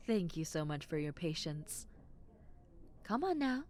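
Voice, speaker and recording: A young adult woman voicing a kind, caring dentist in an audio roleplay, speaking softly and reassuringly, often dropping into a whisper, with a playful, teasing edge. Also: low-pitched, sing-song, slow pace, full character voice. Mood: soothing. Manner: soft, gentle and reassuring, slipping into whispers; playful, with frequent soft giggles. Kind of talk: ASMR roleplay.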